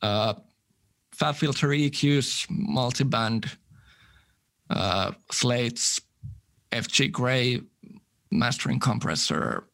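A man's voice: mid-range voice, takes it slowly, creaky, pauses often. Man, mid-range voice, easygoing guest who chuckles between answers. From Finland. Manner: relaxed, laughs easily, detailed gear talk. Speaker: guest musician. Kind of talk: casual interview talk.

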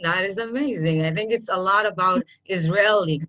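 A woman's voice: mid-range voice, normal speed, sharp tone. Enthusiastic woman interviewer, muffled sound. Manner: enthusiastic, searching for words. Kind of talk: interview questions.

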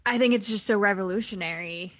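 A woman co-hosting a casual chat, enthusiastic and frequently pausing with "um". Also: mid-range voice, fairly bright tone, dry recording, studio quality.

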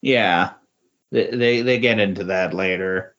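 A man in a casual podcast discussion about comics, speaking in halting, agreeable remarks. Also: deep voice, fairly bright tone. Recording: dull, lo-fi voice recording.

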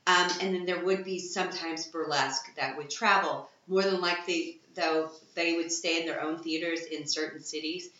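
A bright-toned, fairly low-pitched woman talks eagerly as a guest, now and then bursting into excitement. The voice rings in a very echoey room, and the recording sounds dull and lo-fi. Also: moderate pace, hardly any pauses. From Texas, USA. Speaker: burlesque performer, guest. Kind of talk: casual interview chat.

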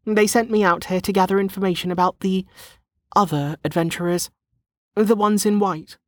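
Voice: medium pitch, steady tone, normal speed, dry recording, studio quality, voice pushed up, lightly acted. A narrator voicing a flustered, indignant young woman who protests bluntly, in an audiobook. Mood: exasperated. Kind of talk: audiobook character dialogue.

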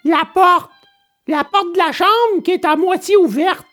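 A woman's voice: extremely high pitch, measured pace, fairly bright tone, soft background music. A woman playing a stubborn, childlike wooden puppet boy in an audio storybook, whining and exclaiming dramatically. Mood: whiny, stubborn.

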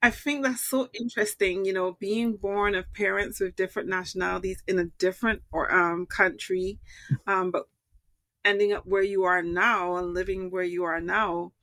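A woman's voice: mid-range voice, steady tone, moderate pace, fairly bright tone, no echo. A woman hosting a podcast, welcoming a guest and asking questions in an upbeat, affirming way. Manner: upbeat, affirming with frequent backchannels. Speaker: podcast host. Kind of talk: scripted show intro and interview.

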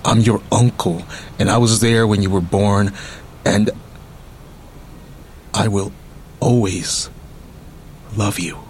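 An American man in middle age acting a world-weary, menacing yet tender ex-convict uncle in a radio drama, with a rough, husky voice. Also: lowish voice, steady tone, slow pace, long pauses, slight background noise. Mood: earnest, tender.

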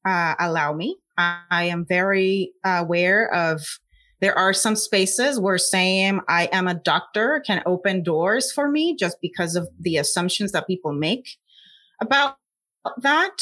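A woman's voice: fairly low pitch, a little slow, bright, forceful tone. Earnest Puerto Rican woman scientist. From Puerto Rico. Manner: earnest, frequent "like" and "you know" fillers. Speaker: scientist guest. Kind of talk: conversational interview.

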